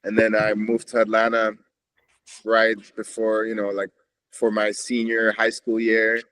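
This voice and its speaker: A man's voice: on the low side, monotone, unhurried, fairly bright tone, a little hiss or hum, poor audio. A man from Switzerland, a podcast guest reflecting in a meandering, casual way with frequent restarts. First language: Swiss German. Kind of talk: casual conversation.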